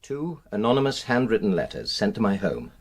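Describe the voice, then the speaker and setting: A man's voice: fairly low pitch, sing-song, a little fast. A man acting in a naturalistic radio drama as a defensive, aggrieved husband, earnest and emphatic as he justifies himself. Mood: uneasy, explaining. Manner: earnest, emphatic, self-justifying, hesitant under questioning. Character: aggrieved, defensive middle-class husband suspected of an affair.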